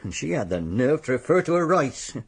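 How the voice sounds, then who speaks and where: Husky, shifty, resentful uncle. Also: male voice, medium pitch, big pitch swings, a little fast. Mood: defensive, resentful. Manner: defensive, grudging. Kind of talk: radio drama acting.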